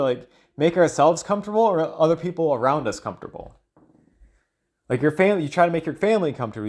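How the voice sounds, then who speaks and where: A man's voice: a little high, a little mellow. Man rambling through his thoughts aloud. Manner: hesitant, searching, trails off mid-thought. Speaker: solo audio log creator. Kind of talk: rambling, thinking-aloud monologue.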